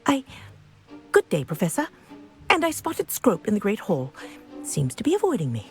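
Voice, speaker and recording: A feisty wizard school teacher in a video game. Her mid-pitched voice swings dramatically with indignant emphasis and exasperation, over faint music. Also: wildly swooping pitch, moderate pace. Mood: indignant, exasperated.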